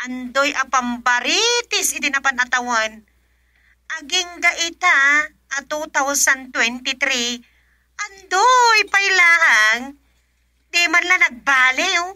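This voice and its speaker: Skeptical village woman, Ilocano accent, high piercing bright voice, slow drawn-out teasing, rural radio comedy. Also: dry recording, full character voice. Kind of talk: comedic radio drama acting.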